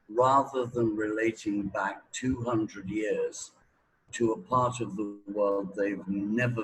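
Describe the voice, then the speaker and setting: A middle-aged man giving opinionated, deliberate answers with long pauses in a conversational interview. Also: fairly low pitch, poor audio. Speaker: English teaching expert being interviewed.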